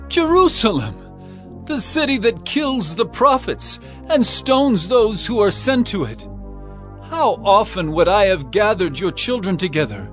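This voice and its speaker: Male voice, stern prophet, declamatory, fairly high, widely swinging pitch, audio drama, music behind. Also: full character voice. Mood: anguished lament. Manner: declamatory, solemn, emphatic. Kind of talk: dramatized scripture reading.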